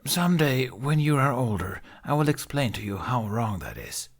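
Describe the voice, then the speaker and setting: Man as a kindly, dry old grandmother, lively intonation. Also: mid-range voice, moderate pace, voice pushed up. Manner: dry, teasing. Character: elderly village woman, kindly, dry, teasing grandmother. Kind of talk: audiobook character voice.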